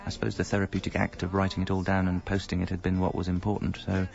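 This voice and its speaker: A man's voice: low voice, monotone, fast, creaky, darkish tone. An actor playing a middle-aged British married man who confesses his double life in a wry, articulate, self-mocking way, as radio comedy narration. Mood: wry, confessional. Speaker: narrator and lead character. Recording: dull lo-fi sound, faint music.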